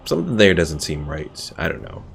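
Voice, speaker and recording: Male streamer, low register with vocal fry, casual rambling game commentary to chat. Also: sing-song, normal speed, slight background noise. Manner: rambling, thinking aloud. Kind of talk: casual gameplay commentary while chatting with viewers. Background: game music.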